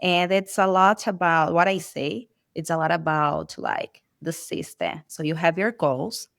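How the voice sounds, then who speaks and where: A woman's voice: fairly low pitch, measured pace, vocal fry, no echo, studio quality. Brazilian-accented woman, chatty guest. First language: Portuguese. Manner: chatty, animated, many filler likes. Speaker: guest, medical sales rep. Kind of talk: casual interview talk.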